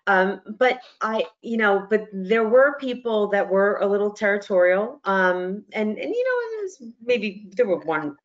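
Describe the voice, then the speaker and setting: A woman's voice: medium pitch, expressive, measured pace, hardly any pauses, lo-fi sound. A woman chatting as a panelist in casual conversation, hesitantly repeating words as she thinks. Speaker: podcast panelist.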